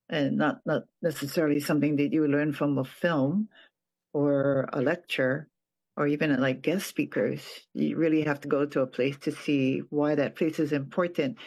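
Older man, from Hawaii, retired professor, reflective, halting phrases, somewhat high voice. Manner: thoughtful, pauses often and restarts phrases. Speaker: retired professor and nonprofit board president. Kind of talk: reflective personal account.

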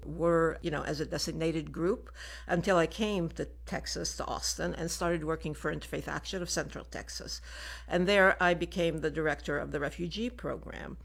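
An older woman who grew up in Lebanon, talking in a radio station studio. Her voice carries a gravelly, fry-tinged edge, and she explains things in a steady, measured way. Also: low voice. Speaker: refugee program director, guest. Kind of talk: radio interview.

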